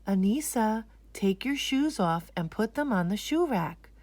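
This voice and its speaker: Woman as a patient, unhurried storybook mother. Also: medium pitch, animated, a little mellow, no echo, pitched above the performer's own voice. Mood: instructing. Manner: explanatory, instructive. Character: patient, gently correcting young mother.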